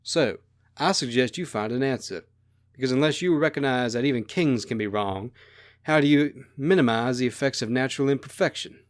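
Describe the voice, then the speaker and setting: A man's voice: mid-range voice, brisk, close to an everyday voice. A man voicing a blunt, probing republican who argues against royalty in a story. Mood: blunt, forceful.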